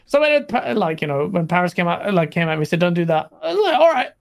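A man's voice: sits high, very animated, fast, vocal fry. A man guest on a casual gaming podcast, fiery and joking, talking in a rambling way. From Pakistani heritage. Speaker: guest, video creator.

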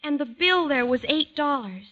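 Very high-pitched earnest schoolgirl. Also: animated, moderate pace, heavy background noise. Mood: earnest, pleading. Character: earnest, gushing teenage schoolgirl, principal's daughter. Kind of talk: 1940s radio sitcom acting. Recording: old radio broadcast, dull band.

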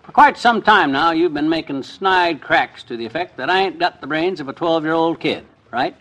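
An actor playing a boastful, scheming middle-aged husband in a vintage radio comedy, folksy and needling. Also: male voice, on the high side, lively intonation, moderate pace, fairly bright tone. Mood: smug. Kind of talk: 1950s radio comedy acting.

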